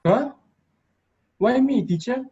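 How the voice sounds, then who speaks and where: A man's voice: extremely high pitch, lively intonation, slow, dark tone, breathy edge, highs cut off, exaggerated voice. A man playing a timid, frightened young student in an amateur dramatic reading. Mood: nervous, pleading.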